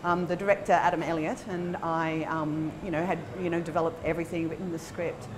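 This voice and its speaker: An Australian woman in her forties talking conversationally in an interview as a film producer, in an animated, emphatic, informal manner. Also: low-pitched, a little fast, rough recording. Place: indoor room by a bright window at a festival. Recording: chatter of people in the background.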